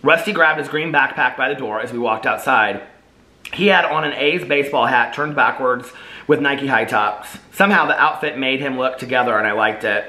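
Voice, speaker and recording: A man's voice: medium pitch, bright, forceful tone. A man with a chatty, playful, informal way of talking, casually vlogging and reading his own book aloud. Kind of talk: casual chat and storybook read-aloud.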